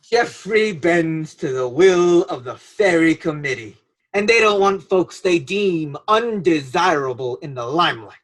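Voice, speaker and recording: A man's voice: on the high side, expressive, measured pace, a bit bright. A man playing a fussy, put-upon fairy-tale fellow, emphatic and theatrical, in an irreverent radio play.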